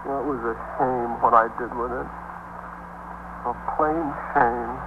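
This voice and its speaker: An actor playing a cornered, self-pitying small-time drug dealer from the streets, rambling and griping in a 1950s radio drama. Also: male voice, mid-range voice, fairly even intonation, unhurried, natural voice. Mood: bitter, self-pitying. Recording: muffled 1950s radio recording, faint hum.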